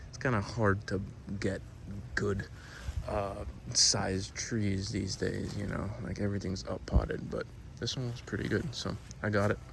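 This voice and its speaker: A man's voice: lowish voice, little pitch movement, unhurried, vocal fry. A man from Miami, born in Cuba, talking casually and conversationally as he gives an unscripted tour outdoors in his backyard. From born in Cuba, raised in Miami. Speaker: host giving a tour of home fruit garden. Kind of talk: casual unscripted vlog narration. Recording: noisy outdoor recording with ambient sound.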